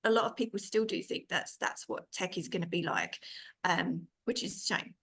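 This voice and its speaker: A woman's voice: on the low side, moderate pace, fairly bright tone, breathy edge. A woman hosting a podcast, interviewing her guest in a conversational style. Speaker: podcast host.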